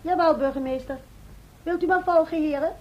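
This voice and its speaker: An actress in an old radio drama playing a young, self-possessed medieval maid, polite and prim. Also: female voice, sits high, a little fast, close to an everyday voice. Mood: prim, deferential. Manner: deferential, prim. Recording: muffled old radio recording, faint noise.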